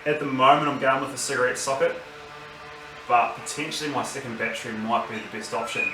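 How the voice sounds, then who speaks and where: Australian man, roomy distant recording. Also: medium pitch. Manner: unscripted, halting, thinking aloud. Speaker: vlogger reviewing gear. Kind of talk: casual unboxing and review talk. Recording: roomy, distant mic, noisy background.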